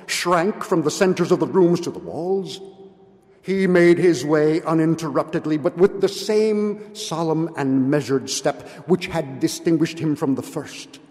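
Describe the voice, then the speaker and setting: Slow, theatrical older man reading aloud. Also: a little high, a little mellow. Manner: theatrical, solemn, deliberate. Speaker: reader at a public event. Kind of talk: dramatic literary reading. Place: indoor hall, at a podium. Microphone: gooseneck podium microphone. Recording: long hall reverb.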